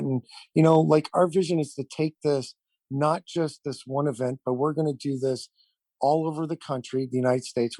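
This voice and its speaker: A man's voice: medium pitch, mellow, no room sound. A man giving earnest, enthusiastic interview answers as an event founder, effusive and given to repeating his points. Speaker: event founder, podcast guest.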